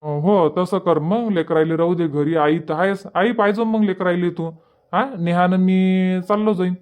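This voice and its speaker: A man's voice: sits high, steady tone, moderate pace, clear, resonant tone, phone-quality sound, natural voice. A man playing a reassuring, bossy village elder brother in a cartoon comedy, speaking Marathi with a Varhadi accent and repeating his advice. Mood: advising. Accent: Varhadi Marathi of Vidarbha. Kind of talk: dialect cartoon comedy dialogue.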